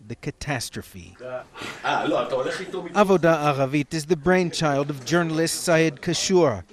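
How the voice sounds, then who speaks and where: A man narrating a news report as correspondent, with a measured, even broadcast delivery. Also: a little high.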